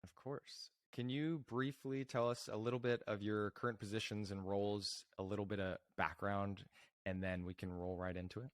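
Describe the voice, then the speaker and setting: A man's voice: lowish voice, steady tone, moderate pace. A man hosting a rehab podcast, asking plain, direct interview questions. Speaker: podcast host.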